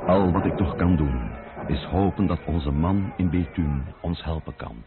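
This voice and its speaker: A grave, grieving nobleman. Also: low voice. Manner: grave, sorrowful, measured. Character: grieving nobleman writing a letter, weary and solemn. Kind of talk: letter read as radio drama monologue. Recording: phone-like old radio with faint music.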